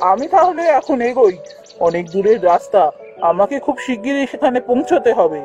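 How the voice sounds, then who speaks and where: A man's voice: very high-pitched, very animated, fairly quick, darkish tone, faint music behind. A man voicing a kind, earnest young prince in a fairy-tale cartoon. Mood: friendly, grateful.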